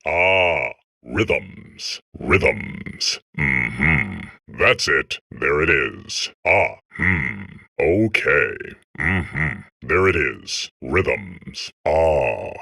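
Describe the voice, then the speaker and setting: A rock-bottom, gravelly male robot voice for a comedy game. He is blissfully absorbed in himself, savouring short phrases extremely slowly. The rasp has a forceful edge. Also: extremely low pitch, big pitch swings, dry recording, studio quality, full character voice. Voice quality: gravelly, raspy. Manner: languid, savouring, terse phrases. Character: blissful, self-absorbed male rhythm-obsessed robot core. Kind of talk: comedic video game voice acting.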